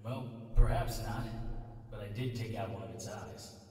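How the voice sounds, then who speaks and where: An actor playing a dry-humored, steady wizard hero in a radio drama. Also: male voice, on the low side, moderate pace, lightly acted. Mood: wry. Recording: echoey distant hall, clear noise.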